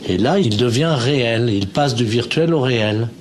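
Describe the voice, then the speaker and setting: Deadpan man posing as a phone salesman, noisy line. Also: mid-range voice, normal speed, natural voice. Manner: matter-of-fact, deadpan. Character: earnest male appliance shop phone salesman spouting absurdities. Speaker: phone prankster. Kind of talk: improvised prank phone call.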